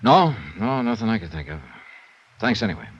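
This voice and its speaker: A man's voice: on the low side, sing-song, brisk, slightly rough. A male actor with an American accent playing a cool, probing insurance investigator in a vintage radio noir drama. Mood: casual. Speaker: lead actor of radio detective series. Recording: muffled vintage radio recording, music behind.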